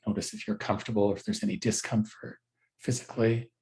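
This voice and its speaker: A man with a fairly low voice leads a guided body scan meditation as a teacher. He gives gentle instructions in short phrases, leaving unhurried pauses between them. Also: level tone.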